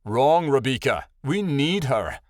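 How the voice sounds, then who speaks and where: A man voicing a steady, commanding male animal leader in a storybook, firm and ordering. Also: medium pitch, a little slow, no echo, studio quality, close to an everyday voice.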